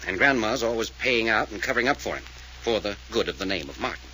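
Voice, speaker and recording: An actor playing a commanding, no-nonsense private detective in an old-time radio mystery. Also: male voice, fairly low pitch, expressive, quick pace, sharp tone. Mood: matter-of-fact. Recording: noisy old radio recording.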